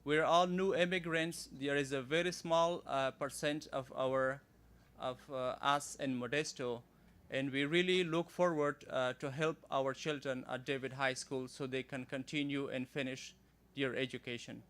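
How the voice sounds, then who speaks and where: A man from Afghanistan, a parent giving an earnest, halting public comment at a podium microphone in a school board meeting room. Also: mid-range voice, fairly even intonation, fairly bright tone. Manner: earnest, halting with frequent fillers.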